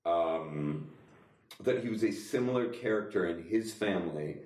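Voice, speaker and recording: A man's voice: low voice, expressive, measured pace, a little mellow. A man co-hosting a casual comedy podcast, talking in a playful, wordy, mock-formal way. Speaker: podcast co-host running a guessing quiz. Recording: roomy echo, distant from mic.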